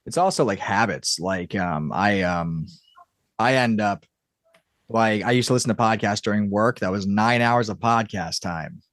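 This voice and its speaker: A man speaking as a podcast guest in an informal, halting casual chat. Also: on the low side, a bit bright, no room sound, studio quality. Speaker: podcast guest, audio drama creator.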